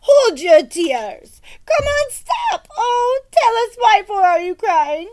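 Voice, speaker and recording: Woman acting pompous storybook knight, male character, high pitch, slow declamatory delivery, courtly and gallant. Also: big pitch swings, a little mellow, a little hiss or hum, exaggerated voice. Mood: pleading. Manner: declamatory, archaic courtly phrasing.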